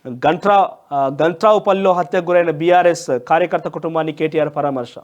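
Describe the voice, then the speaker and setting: A man's voice: on the high side, brisk, muffled recording. A man with a Telangana Telugu accent presenting a newspaper review with opinionated commentary in a conversational, emphatic way. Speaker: news presenter.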